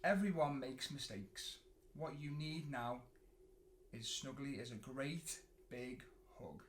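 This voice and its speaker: A man's voice: mid-range voice, slow, close to an everyday voice. A man voicing a cheerful, forgiving young monster friend in a children's storybook, with an encouraging manner. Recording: roomy echo, faint noise.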